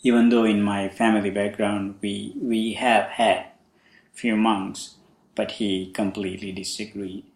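A young man from Sri Lanka, a Buddhist monk hosting a podcast, talking slowly with many pauses and fillers about his life. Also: fairly low pitch, little pitch movement, reverberant. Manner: slow, halting, many fillers. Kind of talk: casual spoken monologue.

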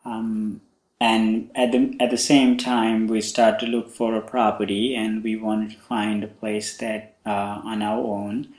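A young man from Sri Lanka, a Buddhist monk hosting a podcast, talking slowly with many pauses and fillers about his life. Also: on the low side, little pitch movement, a little mellow, reverberant. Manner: slow, halting, many fillers. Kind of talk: casual spoken monologue.

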